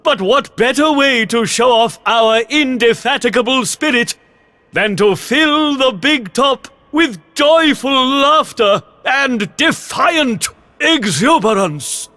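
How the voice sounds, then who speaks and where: A man's voice: very high-pitched, lively intonation, slow, pushed and bright, full character voice. A man voicing a showman circus ringmaster who announces in a grand, rousing way. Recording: game music behind.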